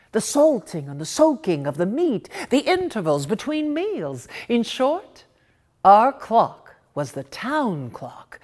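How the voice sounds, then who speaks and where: A woman reading a literary story aloud in an expressive, theatrical and deliberate manner. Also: fairly high pitch. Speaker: story reader.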